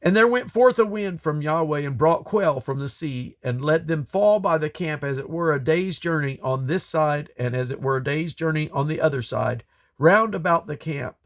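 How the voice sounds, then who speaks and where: A man reading scripture aloud in a solemn, deliberate way, breaking the text into measured phrases. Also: on the high side, animated. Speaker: Bible reader. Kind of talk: scripture reading, King James English.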